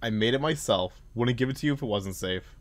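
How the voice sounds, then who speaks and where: A man's voice: mid-range voice, a little fast, over music. A man doing casual playthrough commentary as a gaming host, thinking aloud and talking back to game characters. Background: game ambience.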